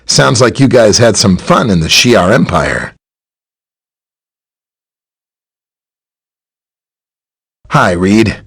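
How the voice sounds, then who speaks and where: An actor playing a helpful, earnest scientist superhero in a video game, explaining technical matters in a friendly way. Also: male voice, on the low side, animated, measured pace, creaky, fairly bright tone, husky, full character voice. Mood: friendly, welcoming. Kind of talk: scripted game dialogue, explaining and briefing.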